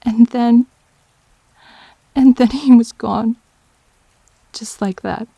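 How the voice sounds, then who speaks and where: A high-voiced actress as a grieving girlfriend in an audio drama, wounded and defensive. She takes her time, pausing between halting phrases, in a very dry, close recording. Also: steady tone, gravelly, dark tone, breathy edge, no room sound, heavily acted, rough recording. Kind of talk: emotional audio drama acting.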